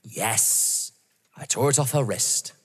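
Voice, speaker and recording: A man's voice: medium pitch, slow pace, slightly rough. An actor playing a mocking, vengeful young man in an audio drama, theatrical and savouring each word. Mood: gloating. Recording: reverberant hall-like room.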